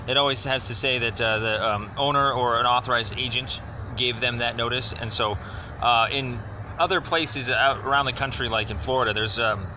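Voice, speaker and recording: Guy on a phone call explaining stuff at length, halting and rambly, medium voice, lots of car noise. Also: medium pitch, fairly even intonation. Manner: halting, rambling, wordy, hedging. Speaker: caller making an inquiry. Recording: noisy phone call with outdoor traffic.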